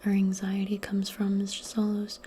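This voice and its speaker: A woman role-playing in soft-spoken ASMR, speaking gently and soothingly in a breathy, mostly whispered voice. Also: medium pitch, completely flat delivery, a little hiss or hum. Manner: gentle, soothing, unhurried, conversational. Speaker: ASMR performer role-playing a modern witch.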